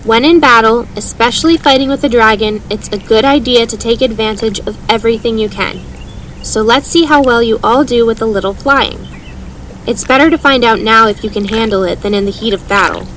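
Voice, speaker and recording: A woman's voice: on the high side, fairly quick, a bit bright, slight background noise, close to an everyday voice, rough recording. A woman playing a determined, earnest young female mage leader in an audio drama, calling out firm instructions to a group. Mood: firm, instructing.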